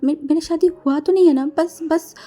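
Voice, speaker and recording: A woman's voice: very high-pitched, fairly even intonation, normal speed, mellow, voice pushed up. A woman voicing a frightened young man who stammers and trips over his words. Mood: timid stammering. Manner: stammering, timid. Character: timid young man, scared of his lover.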